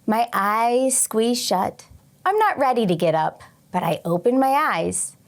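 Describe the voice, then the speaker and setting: A woman teacher reading a picture book aloud to young children expressively, pausing for short teaching asides. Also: on the high side, animated. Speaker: teacher and read-aloud host.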